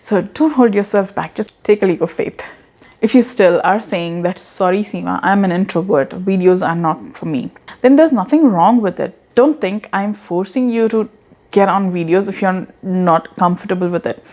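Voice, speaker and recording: An earnest woman in her thirties, with an accent that blends Indian and American English. She speaks to the camera in an encouraging way, laughs at herself, and repeats words as she goes. Her voice sits at a middle pitch. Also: normal speed, a little mellow. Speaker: online business coach. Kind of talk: casual talking-head advice.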